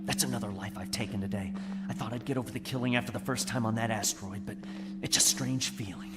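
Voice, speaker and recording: An actor in an audio drama playing a brooding rebel agent who talks himself through a dangerous mission. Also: male voice, fairly low pitch, steady tone, quick pace, heavy background noise, lightly acted. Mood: determined, brooding. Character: brooding young rebel agent, narrating his own mission. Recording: long reverb, loud music behind.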